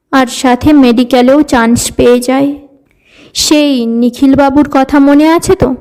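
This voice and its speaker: A woman's voice: sits high, a little mellow, poor audio. A woman narrating a scripted cartoon story in a storybook style.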